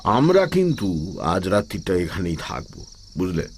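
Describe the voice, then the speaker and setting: A man playing a resolute, grave and mysterious holy man in an audio horror drama, speaking in halting, measured phrases. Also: deep voice, very animated, a little hiss or hum. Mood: calm, firm. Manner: halting, solemn, measured delivery with pauses.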